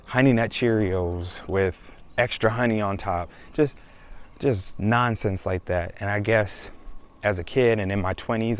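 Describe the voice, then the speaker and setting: A man, chatting casually to his viewers in a vlog. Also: fairly low pitch. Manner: chatty, relaxed, addresses viewers as y'all. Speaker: vlogger. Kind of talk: casual vlog talk to camera.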